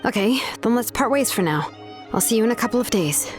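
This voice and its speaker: A woman's voice: on the high side, expressive, a little fast, over music, close to an everyday voice. A woman playing a frank, easygoing young tribeswoman in a fantasy video game, talking casually and conversationally. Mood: casual, musing.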